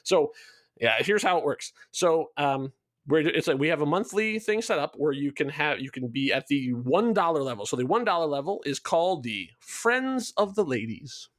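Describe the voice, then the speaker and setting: Male podcast guest, show creator type: fairly high register, dry sound, eager and discursive, casual promotional chat. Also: very animated, normal speed, no echo. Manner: enthusiastic, rambling explainer. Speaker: show creator being interviewed.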